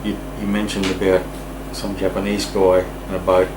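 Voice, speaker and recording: A man puts informal questions in a fairly low, monotone voice. The room is very reverberant, with clear background noise. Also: moderate pace.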